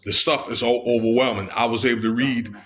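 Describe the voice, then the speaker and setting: An American man giving informal, unscripted commentary on legal news, halting as he goes and often checking in with the listener. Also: medium pitch, fairly even intonation, a bit bright. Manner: halting, with frequent checking tags. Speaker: video commentator.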